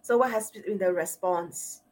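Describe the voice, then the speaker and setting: A woman's voice: mid-range voice, a little slow, darkish tone, low-quality recording. A woman with a Singaporean accent hosting an interview as a sexologist, speaking with frequent uhs and repeated words. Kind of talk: interview host.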